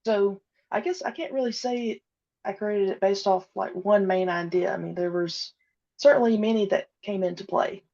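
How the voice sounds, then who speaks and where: A woman's voice: mid-range voice, normal speed, gravelly, warm tone. Woman chatting in dull lo-fi audio. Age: 30s. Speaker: podcast guest, audio drama creator. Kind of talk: casual interview conversation.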